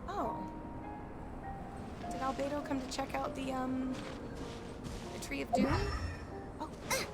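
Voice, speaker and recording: Female game streamer, high voice, casual and chatty, half talking to herself, loud game music underneath. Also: fairly even intonation, unhurried. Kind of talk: casual live gameplay commentary.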